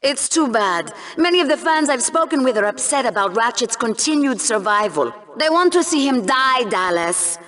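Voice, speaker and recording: An actress playing a gleefully sadistic co-anchor of a combat TV show in a video game, with polished presenter delivery and menacing relish. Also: female voice, high voice, sing-song, moderate pace, few pauses, a bit bright. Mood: morbid relish. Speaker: sports show co-host. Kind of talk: mock newscast commentary.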